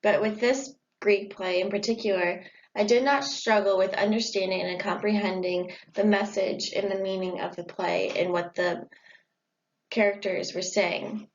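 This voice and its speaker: Young woman reading her report plainly, mid pitch, muffled lo-fi audio. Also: slight background noise. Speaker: student presenter. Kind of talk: informal school report. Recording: dull lo-fi audio.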